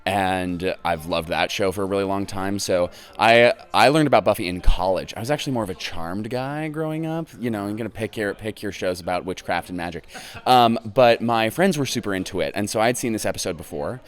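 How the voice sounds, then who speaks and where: A young man, a stage actor, talking in a relaxed, thoughtful way in an interview. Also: on the low side, fairly quick, hardly any pauses. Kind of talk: casual interview.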